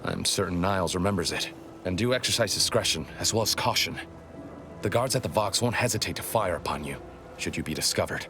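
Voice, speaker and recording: An actor playing a grave, guarded rebel spymaster in a video game, speaking in a formal, articulate way. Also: male voice, low-pitched, a little fast, noisy background, natural voice. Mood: grave, urgent. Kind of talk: dramatic video game dialogue.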